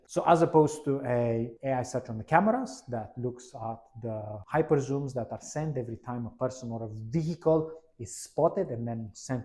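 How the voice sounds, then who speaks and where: A man's voice: medium pitch, mellow. A man narrating a product demo in a conversational, explanatory way, stressing key words as he presents.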